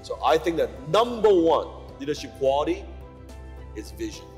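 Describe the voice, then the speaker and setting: A man's voice: a little high, sing-song, moderate pace. A man giving an emphatic, punchy motivational speech over music. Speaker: motivational speaker. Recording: long hall reverb with loud music.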